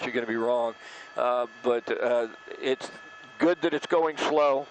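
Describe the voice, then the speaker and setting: A quick-talking man with a mid-pitched voice reports live from a news helicopter. He explains and speculates as he goes, over a light layer of aircraft noise. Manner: explanatory, speculating as events unfold. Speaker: helicopter news reporter covering a police pursuit. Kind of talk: live breaking-news commentary.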